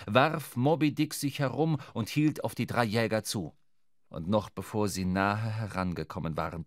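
A man's voice: medium pitch, no room sound. A man narrating a literary sea adventure for a radio drama, recorded close in a studio.

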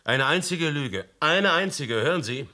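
An actor playing a stern, authoritative official in a radio play who questions curtly and warns sharply. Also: male voice, a little high, lively intonation, measured pace, bright, forceful tone. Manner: curt, warning, sometimes sharply raised. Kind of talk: radio drama acting.

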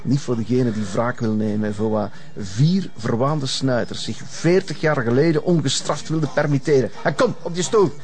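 An actor playing a sardonic, menacing avenger with a husky Flemish voice and a mocking, taunting manner. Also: male voice, mid-range voice, moderate pace, faint music behind, natural voice. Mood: bitter, accusing. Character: menacing, sardonic male avenger holding a gunman's upper hand. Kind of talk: Flemish television crime drama acting.

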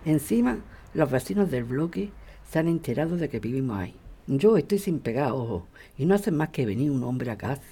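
A woman playing a worried, worn-down working grandmother in radio drama dialogue, with a husky, dark voice. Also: a bass voice, very animated, a little fast, full character voice. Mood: weary, worried. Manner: weary, anxious.

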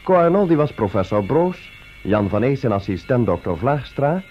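A man's voice: medium pitch, sing-song, moderate pace, soft background music. A man working as a radio announcer, reading scripted credits. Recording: muffled old radio recording.

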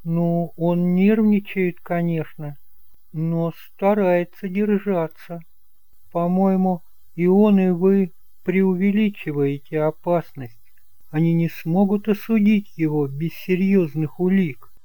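Мужчина играет искреннего юношу, голос повыше, мягкий. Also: male voice, high voice, fairly even intonation, takes it slowly, mellow, clean tone, dry recording, voice pushed up, low-quality recording. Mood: reassuring. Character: earnest, determined young man, reassuring nephew and heir. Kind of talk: audiobook drama acting.

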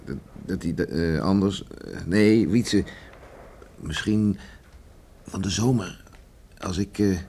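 Deep-voiced, stubborn farmer father. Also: warm tone, breathy edge, exaggerated voice. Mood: evasive, hesitant. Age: middle-aged. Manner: brooding, halting, muttering to himself. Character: stern, stubborn farmer father, troubled by remorse. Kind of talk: Dutch radio play acting. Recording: faint background noise on radio recording.

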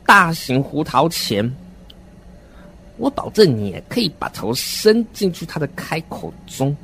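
Male horror storyteller, fairly high voice, deliberate and dramatic, slightly noisy recording. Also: a bit bright. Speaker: radio drama narrator and voice actor. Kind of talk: first-person horror story narration.

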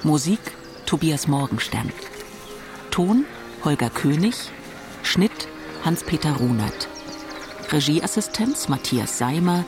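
A man announces a radio play from a script. He is formal and takes his time, with fairly high, lively intonation. Music plays prominently beneath him. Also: slow pace, pauses often.

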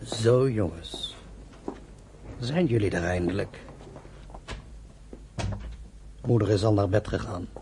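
An actor playing a stern, wounded father of grown sons in a radio play, wryly reproachful in a husky, gravelly voice. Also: lowish voice, warm tone, a little hiss or hum, lightly acted. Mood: dry, knowing.

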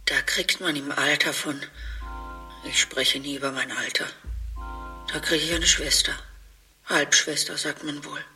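An actress playing a catty, sharp-tongued middle-aged half-sister in a radio play, speaking with a breathy voice and a sarcastic, gossipy manner. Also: female voice, low voice, steady tone, unhurried, long pauses, faint music behind, exaggerated voice.

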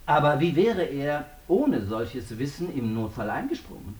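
An actor playing a stern, insistent courtroom examiner in a German radio drama, formal and probing. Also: male voice, fairly high pitch, animated, measured pace, a little mellow, roomy sound. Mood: stern, accusing.

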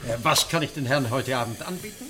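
Innkeeper, bright forceful voice, lively, welcoming. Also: male voice, medium pitch, fast talker, slight background noise. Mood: hearty. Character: friendly male London innkeeper. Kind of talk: 1950s radio play acting.

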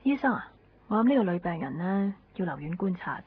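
A woman playing a brisk, businesslike emergency medical worker in a Hong Kong Cantonese radio drama from the 1990s. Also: lowish voice, very animated, a little hiss or hum. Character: brisk, businesslike female ambulance and hospital worker. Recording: dull old radio recording.

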